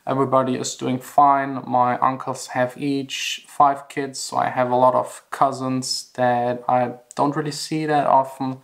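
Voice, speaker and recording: A man's voice: medium pitch, little pitch movement, measured pace, gravelly, hardly any pauses. A young man from Germany with a German accent, narrating a personal vlog in an earnest, reflective way. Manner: earnest, reflective, plainly spoken. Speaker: vlogger, house DJ and music producer.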